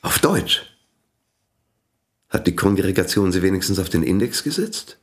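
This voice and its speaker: A pompous, husky, low-voiced indignant priest. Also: male voice, sing-song, normal speed, full character voice. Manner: pompous, declamatory, theatrical. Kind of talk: German radio play acting.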